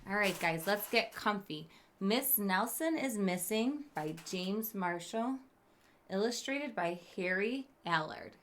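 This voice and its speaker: Female schoolteacher for children's read-aloud; crisp bright tone, unrushed pace, expressive narration with chatty explanations. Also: medium pitch, takes it slowly, a little hiss or hum. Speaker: elementary school teacher.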